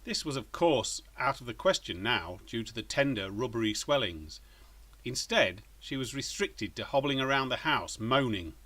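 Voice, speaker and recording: A man's voice: mid-range voice, lively intonation, normal speed, bright, forceful tone. A man narrating a children's story as its author, in a scripted reading style.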